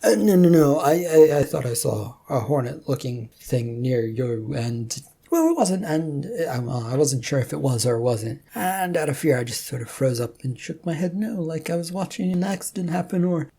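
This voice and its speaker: A woman plays a flustered male office worker from a comic story. He is hesitant and placating, stammering along in a low, husky voice. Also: animated, normal speed, dark tone, exaggerated voice.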